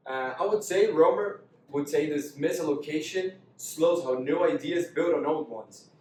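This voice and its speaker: A man's voice: fairly high pitch, normal speed, darkish tone, slight background noise, natural voice. A young man playing an economist colleague who explains with some fillers, recorded from far off in a very echoey room. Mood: explaining.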